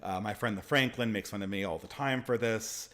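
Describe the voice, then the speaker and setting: A man's voice: lowish voice, fairly quick, fairly bright tone. A man with a nasal voice and a speech impediment, hosting a casual commentary monologue full of restarts and repeated words. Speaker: channel host.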